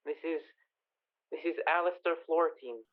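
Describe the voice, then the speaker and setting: Man acting high-voiced nervous caller on the phone. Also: normal speed. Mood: anxious. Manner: hesitant, stammering. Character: nervous, obnoxious male caller fearing his own murder. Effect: telephone filter. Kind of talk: 1940s radio comedy-mystery acting. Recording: thin, narrow telephone-band sound.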